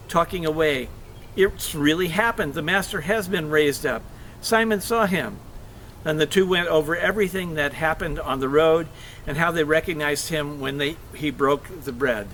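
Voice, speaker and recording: A man reading scripture aloud for a church service, expressive, with the odd stumble and self-correction. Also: a little high, slight background noise, low-quality recording.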